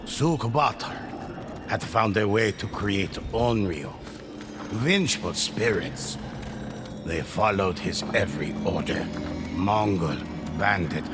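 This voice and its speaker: Game narrator: solemn teller of legends, lowish voice, measured dramatic pauses, prominent soundtrack underneath. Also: male voice. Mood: grave, foreboding. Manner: measured, grave, with weighty pauses. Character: solemn male storyteller recounting a grim legend. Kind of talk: dramatic scripted storytelling narration.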